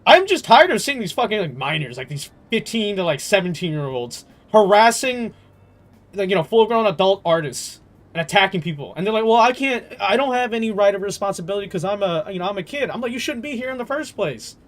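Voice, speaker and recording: Higher-voiced young man, casual streamer. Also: very animated, brisk. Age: young adult. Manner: rambling, emphatic, profane. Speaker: game streamer. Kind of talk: casual live stream chat.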